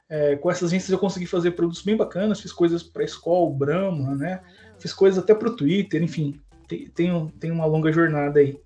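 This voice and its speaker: A man's voice: high-pitched, warm tone, highs cut off. A man with a Brazilian Portuguese accent co-hosting a podcast, chatting casually with lots of slang and fillers. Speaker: podcast co-host.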